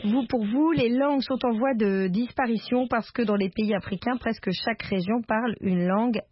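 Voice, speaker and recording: A woman with a husky, slightly higher voice runs a radio call-in show, heard with a somewhat dull sound. She politely greets each caller and prompts them to say more. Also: moderate pace. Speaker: radio host. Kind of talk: hosting a radio phone-in.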